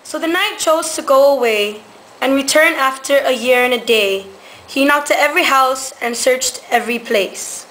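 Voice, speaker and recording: A woman's voice: high voice, normal speed, a bit bright, low-quality recording. A young woman reading scripted storytelling narration for a student puppet show. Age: teenager. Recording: amateur recording with faint noise.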